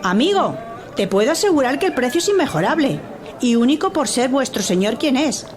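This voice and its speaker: A woman acting a wily, flattering market vendor who haggles in a theatrical, coaxing way for a radio drama. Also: high-pitched, fairly quick. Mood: reassuring, conceding. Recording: busy crowd behind the voice.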